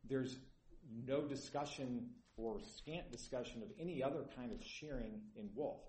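A man arguing an appeal as attorney in court, earnest and halting with many fillers, sounding tired. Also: mid-range voice, darkish tone. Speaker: appellant's attorney. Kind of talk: courtroom oral argument. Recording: echoey courtroom.

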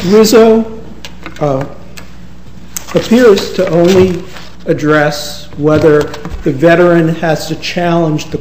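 A man in his fifties giving a courtroom argument as an attorney, halting with pauses and fillers. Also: fairly high pitch, darkish tone. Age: 50s. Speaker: attorney arguing rebuttal. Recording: echoey courtroom with faint hiss.

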